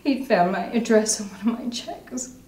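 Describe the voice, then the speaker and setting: Woman acting a halting, guilt-ridden mother, echoing room. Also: fairly high pitch, expressive, darkish tone, close to an everyday voice. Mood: anguished, remorseful. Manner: halting, confessional, sombre. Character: guilt-ridden recovering alcoholic mother confessing at a meeting. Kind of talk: dramatic stage monologue. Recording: roomy echo, faint noise.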